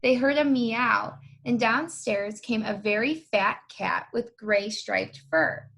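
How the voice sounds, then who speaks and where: Slow, creaky-voiced woman reading a storybook. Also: a little high, fairly bright tone. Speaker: co-reader. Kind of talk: picture book read-aloud.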